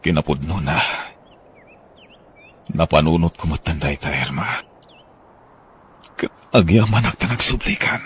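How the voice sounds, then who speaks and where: A man playing Ray, a hurt but forgiving husband in an Ilocano radio drama, with a rough gravelly voice. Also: a bass voice, animated, measured pace, long pauses, slight background noise.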